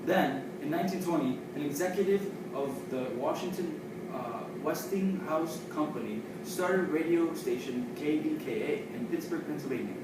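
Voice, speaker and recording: Man, halting amateur report delivery, stumbling over words; very echoey room, heavy noise. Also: fairly high pitch. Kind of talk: amateur history report presentation. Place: echoey room, far from the microphone. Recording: echoey, distant, very noisy room recording.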